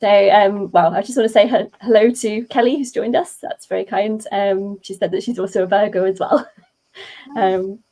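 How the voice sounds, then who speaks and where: A woman with a very mellow, relaxed tone and a medium pitch hosts a casual live chat. Her phrasing is polite and a little hesitant, as on a video call. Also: normal speed, poor audio. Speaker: host.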